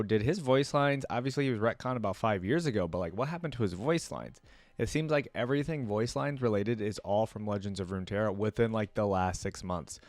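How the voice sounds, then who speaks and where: A man's voice: on the low side, no room sound. A young man giving casual reaction commentary, thinking aloud and repeating lines he has just heard. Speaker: video reactor.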